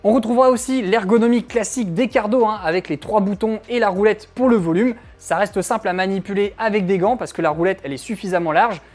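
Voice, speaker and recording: A woman's voice: mid-range voice, quick pace, hardly any pauses, darkish tone. A woman reviewer narrating a scripted product test in an informal, conversational way, with frequent tag words. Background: faint background music.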